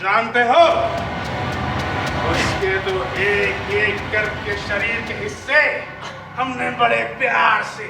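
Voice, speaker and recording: A man's voice: sits high, steady tone, slow, full character voice. A man playing a gloating, sadistic soldier who mocks a captive in a stage play. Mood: sadistic taunting. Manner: mocking, cruel. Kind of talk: amateur stage play acting in Hindi. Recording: distant echoey stage recording, clear background noise.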